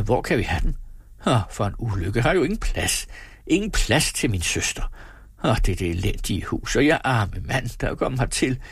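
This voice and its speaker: A man voicing a miserly, pompous, quick-tempered husband in a storybook reading, with theatrical, self-pitying complaints. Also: medium pitch, breathy edge, dry recording, studio quality. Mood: agitated, complaining. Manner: theatrical, exclamatory, grandiose complaining.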